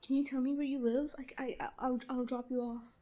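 A young woman voicing a shy, giggly, obsessive schoolgirl stalker in a roleplay audio drama, breathy and halting. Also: high-pitched, level tone, dark tone. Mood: nervous, feigned concern.

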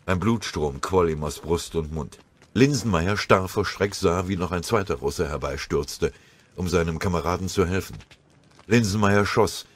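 A man's voice: deep voice, moderate pace. A man reading a dramatic war story as scripted audiobook narration.

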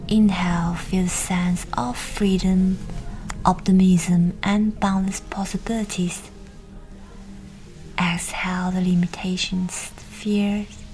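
Breathy woman guiding meditation over music. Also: on the low side, little pitch movement, darkish tone, low-quality recording. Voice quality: breathy, whispery. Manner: soothing, unhurried instruction with pauses. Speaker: meditation guide. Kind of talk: guided meditation, scripted narration.

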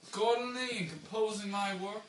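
A young man reads an old scientific text aloud with halting, dramatic phrasing, picked up across a small room. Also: high voice. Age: young adult. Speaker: reader taking turns in an informal group reading. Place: small bare room indoors. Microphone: camera mic from a few metres. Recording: roomy, distant camera mic with hiss.

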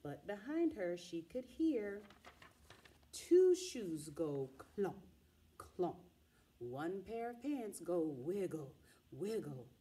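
A middle-aged woman reading a children's picture book aloud in an expressive, dramatic way, drawing out the sound words. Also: fairly low pitch, very slow. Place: small indoor room with wall cards and pillows.

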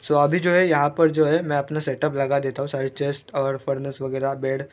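A man, hoarse from a cold, chatting casually as he narrates his own game play and mixes English words into Hindi. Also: medium pitch, level tone, a little fast. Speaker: gaming YouTuber.

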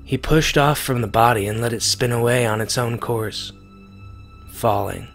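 A man giving a grave, deliberate dramatic reading of a science fiction short story, pausing for effect. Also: medium pitch, vocal fry. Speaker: amateur story reader. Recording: music behind the voice. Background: music.

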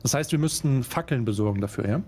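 A man's voice: mid-range voice, expressive, fast, a little mellow, soft background music. A man playing a tabletop game, talking in casual, slangy gamer chat.

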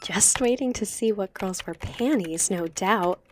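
A brash high school girl as a woman narrator puts her on, frank and casual. She speaks bluntly in an audiobook over faint noise. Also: a little slow, lightly acted. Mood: brash, sarcastic. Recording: slightly noisy home recording.

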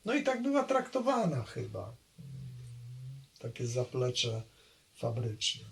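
A man in his sixties with a gravelly, creaky voice, speaking at a middle pitch. He reminisces in a hesitant way, pausing on fillers and starting phrases over as he searches for details. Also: wildly swooping pitch. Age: 60s. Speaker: interviewee recalling childhood. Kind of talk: oral history interview.

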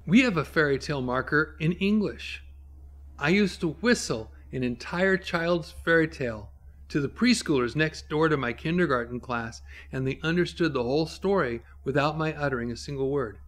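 A man in his fifties teaching from the Bible to camera in an emphatic, explanatory preaching style, with dramatic pauses. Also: on the high side, fairly bright tone.

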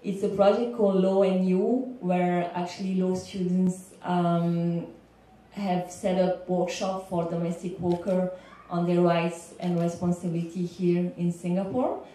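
A young woman with a Belgian accent giving an earnest, halting semi-prepared speech on a panel as a legal consultant. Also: fairly low pitch, flat delivery, warm tone. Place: indoor lecture room panel. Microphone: handheld microphone, recorded by far camera. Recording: echoey room, distant, faint background noise.

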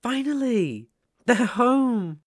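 A young British man voicing a shy, lonely but eager ghost in an ASMR roleplay, stammering, gentle and apologetic. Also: very high-pitched, sing-song, extremely slow, full character voice. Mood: excited, amazed.

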